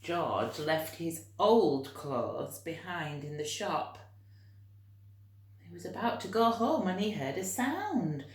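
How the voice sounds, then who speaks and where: A woman reads a children's story with chatty asides. Her delivery is slow, with lively swings in pitch. The recording has a clear room echo.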